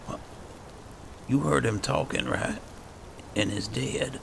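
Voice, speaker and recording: A man with a gravelly voice giving casual, partly roleplayed commentary as he plays a video game. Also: mid-range voice, slow pace, noisy background. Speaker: gameplay host.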